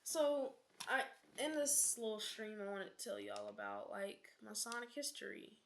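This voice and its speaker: A young female game streamer with a gravelly, fry-laced voice, rambling through casual chat. She wanders off on tangents and corrects herself mid-sentence. Her pitch sits at a middling level, and she slips into quiet, whispered asides. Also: normal speed, slight background noise. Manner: rambling, sidetracking, self-correcting, dropping into whispers.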